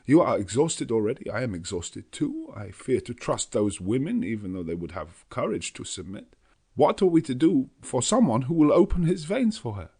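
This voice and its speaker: A man's voice: very animated, normal speed, dark tone, slightly rough. A man voicing an elderly, earnest, fatherly professor, speaking in an emphatic, rising voice. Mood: urgent. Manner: emphatic, rising to high pitch.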